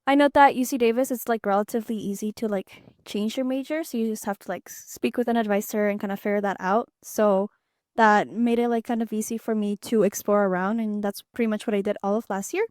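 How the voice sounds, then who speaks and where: A woman's voice: fairly high pitch, no room sound, studio quality. A young woman from Peru co-hosting a casual student podcast, chatty and full of "um" and "like". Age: young adult. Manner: chatty, many fillers like "um" and "like". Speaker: podcast co-host, student ambassador. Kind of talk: casual chat.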